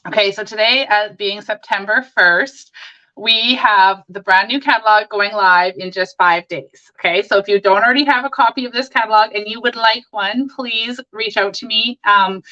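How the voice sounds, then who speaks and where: A hoarse woman hosting a live crafting stream, chatting and greeting viewers by name as she works. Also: mid-range voice, bright, forceful tone, highs cut off. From Canada.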